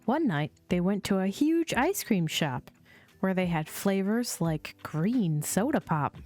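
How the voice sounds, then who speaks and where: A woman reading children's storybooks aloud as a host, in a measured, sing-song storytelling delivery. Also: medium pitch, sing-song, a little mellow. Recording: music playing behind the voice. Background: chill music.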